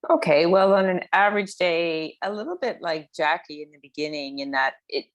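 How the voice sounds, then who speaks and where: A woman guest casually answering personal questions in a halting, thoughtful way, repeating words as she goes. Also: on the low side, dry recording. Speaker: pharmaceutical consultant, interview guest.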